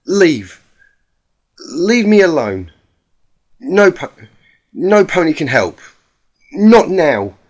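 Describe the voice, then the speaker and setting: Man voicing a panicked, high-pitched young pony mare. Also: very animated, drawn-out words, pauses often, a little mellow, exaggerated voice. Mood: anguished. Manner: stammering, pleading, repeating words. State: panicked, choking, breathless. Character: young female pegasus pony, terrified, distraught, pushing help away.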